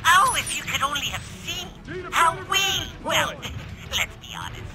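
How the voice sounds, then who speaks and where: A man's voice: extremely high pitch, big pitch swings, a little slow, exaggerated voice. A man playing a vain, scheming robot villain who gloats in a theatrical, pompous way in a video game. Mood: gloating, indignant. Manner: theatrical, pompous, self-admiring. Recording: loud music behind.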